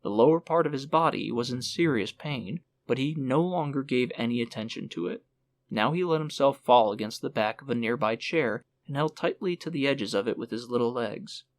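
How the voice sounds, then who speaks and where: A man narrating a literary audiobook in a measured storytelling manner. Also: mid-range voice, animated, no echo.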